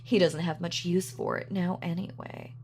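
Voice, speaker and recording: A woman's voice: on the low side, steady tone, moderate pace, darkish tone, slight background noise, lightly acted. A woman playing a gloating, sadistic supervillain in an audio roleplay, with a mock-sweet, sardonic, taunting manner. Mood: gloating, teasing. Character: gloating female supervillain, sadistic, darkly playful. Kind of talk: villain monologue in audio roleplay.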